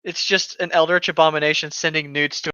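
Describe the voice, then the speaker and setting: A man's voice: fairly high pitch, flat delivery, fairly quick, bright, forceful tone, smooth, no room sound. Man joking on a dull, lo-fi mic. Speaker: podcast co-host. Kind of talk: casual comedic chat while reading horror stories aloud. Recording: dull lo-fi microphone sound.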